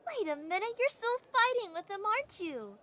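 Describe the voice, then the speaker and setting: A woman's voice: very high-pitched, sing-song, fairly quick, bright, forceful tone, full character voice. An actress playing a nosy, familiar young woman who works in a castle, gossipy and probing, in an audio drama. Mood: curious, probing.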